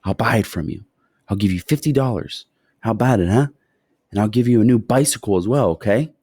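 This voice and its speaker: A man's voice: fairly low pitch, brisk, a little mellow, slightly rough, no room sound. A man voicing a pushy tall male stranger who bargains in a whisper. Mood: wheedling. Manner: whispering, wheedling.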